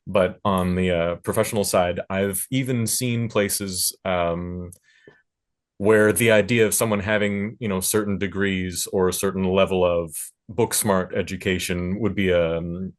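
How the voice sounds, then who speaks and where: Male panelist, low-pitched, reflective and halting, talking through his thoughts as a music producer. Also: fairly even intonation. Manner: halting, thinking aloud, hedging with "sort of". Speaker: panelist, record producer and recording engineer. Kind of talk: panel discussion talk, reflective.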